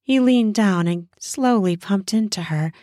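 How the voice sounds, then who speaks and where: A woman narrating a scripted erotic story in an intimate, deliberate manner with drawn-out phrasing. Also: mid-range voice, warm tone, dry recording, studio quality.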